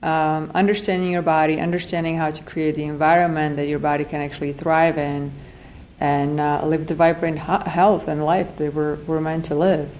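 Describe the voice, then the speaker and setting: Female podcast guest, late 40s, Slovak accent, low voice, earnest and emphatic conversational explainer. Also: flat delivery, normal speed, darkish tone, a little hiss or hum. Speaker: functional medicine practitioner, podcast guest.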